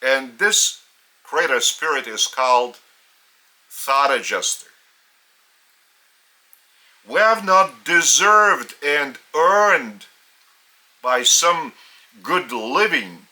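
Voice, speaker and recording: A man with a Lithuanian accent, a native Lithuanian speaker, giving a solemn spiritual teaching in English in slow, deliberate phrases with long pauses. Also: mid-range voice, very animated, pushed and bright, rough recording.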